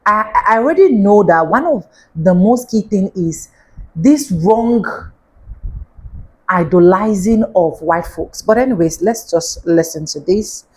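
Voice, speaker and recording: A woman's voice: mid-range voice, lively intonation, unhurried, darkish tone, slightly rough, low-quality recording. A woman with an African accent, hosting a casual commentary show and talking directly to her viewers.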